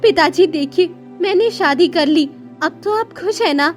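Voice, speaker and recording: Woman voicing a dutiful, high-voiced elder daughter. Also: a little slow, soft background music. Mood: reassuring. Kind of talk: cartoon story dialogue.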